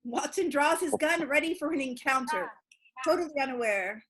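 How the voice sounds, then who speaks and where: A woman's voice: high voice, expressive, normal speed, a bit bright. A woman narrating, reading out stage directions for a comic amateur play.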